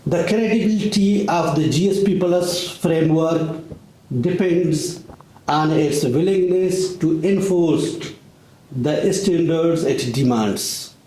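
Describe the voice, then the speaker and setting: A man giving a formal prepared speech at a conference, measured and deliberate, pausing often. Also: on the high side, dark tone, slight background noise. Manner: measured, deliberate delivery with long pauses. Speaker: Baloch activist speaker. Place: conference room in parliament. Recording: echoey conference room.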